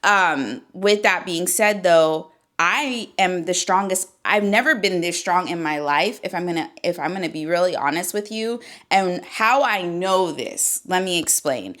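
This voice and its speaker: A woman's voice: on the low side, a little slow, few pauses, fairly bright tone. Woman in her 30s, yoga teacher vibe, loose and chatty, swears a bit. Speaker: yoga teacher and fitness vlogger. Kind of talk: casual talk to camera. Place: seated indoors.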